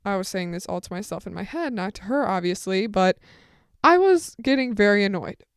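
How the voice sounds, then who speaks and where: A teenage woman hosting her own podcast, telling a rambling story with lots of side comments. She describes her own voice as on the deep side. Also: expressive, brisk, clean tone, no room sound, studio quality. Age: teens. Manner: rambling, chatty, full of asides. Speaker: podcast host. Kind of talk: casual solo storytelling.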